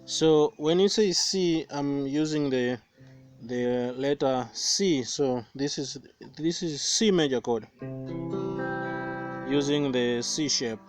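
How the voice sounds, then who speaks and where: A young Tanzanian man whose first language is Swahili, giving a slow, careful guitar lesson outdoors with many pauses and fillers. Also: mid-range voice. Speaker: guitar teacher. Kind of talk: guitar tutorial. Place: outdoors by banana plants. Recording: faint guitar playing behind voice.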